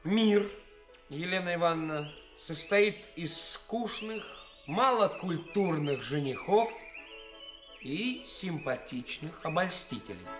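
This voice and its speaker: A man's voice: fairly high pitch, animated, slow. A man acting in a radio play as a young actor who poses as a brazen, cynical bridegroom, speaking in a theatrical, self-important, declamatory way. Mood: brazen, pompous. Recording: radio play recording with music.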